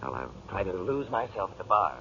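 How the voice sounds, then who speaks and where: Suave, sardonic playboy, animated period radio drama, dull noisy sound. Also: male voice, deep voice, a little fast, exaggerated voice. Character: smooth, sardonic society playboy. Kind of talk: 1940s radio acting. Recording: muffled, noisy 1940s radio broadcast.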